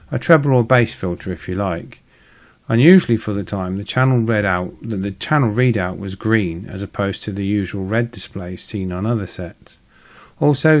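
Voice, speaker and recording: A British man hosting a hobby repair channel, explaining things in a matter-of-fact, informal way. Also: lowish voice. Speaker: host of a radio repair channel. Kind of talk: explanatory hobbyist narration with informal hands-on commentary.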